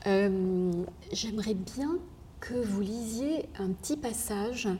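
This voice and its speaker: A woman interviewer asking questions softly and politely. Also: mid-range voice, takes it slowly, a little hiss or hum.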